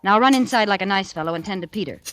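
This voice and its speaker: An actress playing a cold, scheming politician's wife in an old radio drama, calculating, insistent and persuasive in the 1940s manner. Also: female voice, mid-range voice, animated, rapid-fire, fairly bright tone, lightly acted. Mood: brisk, businesslike. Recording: noisy, crackly old radio recording.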